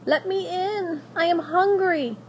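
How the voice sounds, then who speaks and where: A woman's voice: very high voice, slow pace, highs cut off, a little hiss or hum, pitched above the performer's own voice, exaggerated voice. A woman voicing a haughty, spoiled young storybook princess with a whiny, insistent manner. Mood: pleading. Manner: proud, insistent.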